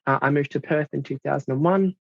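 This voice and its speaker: An Australian man in his thirties giving a club presentation, halting with many fillers. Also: fairly high pitch, vocal fry, dry recording. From South Australia. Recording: dull dry lo-fi sound.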